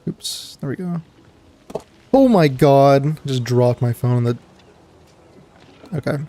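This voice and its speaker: Male streamer, slightly gravelly and dry, mid-pitched, loose rambling chat to viewers. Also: slow, mellow. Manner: rambling, greets chat members, trailing fillers. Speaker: game streamer. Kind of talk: casual live-stream chat.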